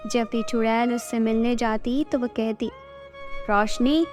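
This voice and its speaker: Female narrator, high voice, scripted fairy-tale storytelling for children, light music behind. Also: completely flat delivery, moderate pace, mellow. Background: background music.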